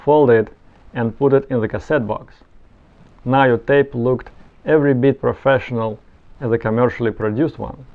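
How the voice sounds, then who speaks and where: Dull-sounding recording of a man narrating. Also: mid-range voice. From Soviet Union. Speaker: video essay presenter. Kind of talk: explanatory narration, semi-scripted.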